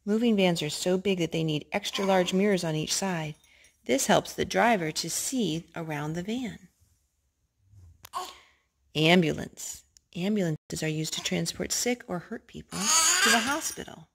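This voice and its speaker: A woman reading children's picture books aloud in a gentle, animated storytime voice, stopping to talk with the children. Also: lowish voice, a little slow, vocal fry, slight background noise. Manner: gentle, animated read-aloud voice, talking to the children between pages. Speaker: storytime reader.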